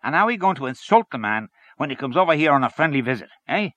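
A man's voice: medium pitch, very animated, quick pace, fairly bright tone, no echo, lo-fi sound, voice pushed up. A man voicing a blustering, opinionated political canvasser in a fierce, emphatic, colloquial manner, in a story reading. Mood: blustering, persuasive.

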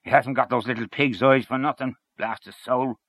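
A man's voice: medium pitch, steady tone, normal speed, fairly bright tone, no room sound, voice pushed up, lightly acted. A man voicing a blustering, scornful middle-aged man who grumbles and gossips.

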